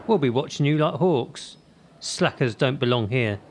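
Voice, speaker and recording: A man's voice: mid-range voice, expressive, normal speed, a little hiss or hum, close to an everyday voice. A man acting the part of a hard-driving, menacing gymnastics coach in a radio play, firing out emphatic short commands and rhetorical questions. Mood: stern. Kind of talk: radio drama acting, motivational tirade.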